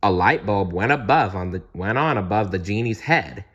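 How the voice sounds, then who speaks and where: A man's voice: fairly low pitch, animated, normal speed, fairly bright tone, slightly rough, muffled recording. A man narrating a children's bedtime story as a storybook reader.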